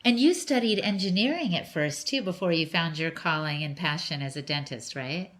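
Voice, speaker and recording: A woman's voice: on the low side, expressive, normal speed, fairly bright tone. A middle-aged woman hosting a podcast interview, asking curious questions with light laughter. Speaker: podcast host. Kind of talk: interview conversation.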